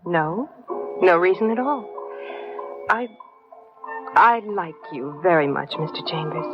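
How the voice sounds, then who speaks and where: An actress playing a polite, soft-spoken young woman in a vintage radio drama. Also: lowish voice, expressive, slow pace, music in the background. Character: polite young female heiress niece. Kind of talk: 1940s radio acting. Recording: muffled old radio recording.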